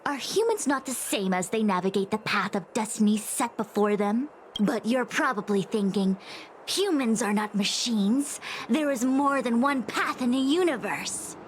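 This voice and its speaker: A woman voicing a solemn, fatalistic master diviner in a game, speaking in a measured, lecturing way. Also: fairly high pitch, slight background noise, close to an everyday voice, poor audio. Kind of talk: scripted game voice acting. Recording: background music under the voice.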